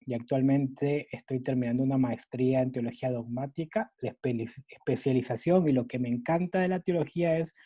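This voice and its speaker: A man's voice: mid-range voice, fairly even intonation, fairly quick, hardly any pauses, dark tone. A Peruvian man with a mixed Latin American Spanish accent, teaching a catechism lesson in an earnest, explanatory way, often restarting with fillers. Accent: mixed Latin American Spanish, Peruvian base. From Peru. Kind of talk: catechesis lecture. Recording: dull lo-fi recording.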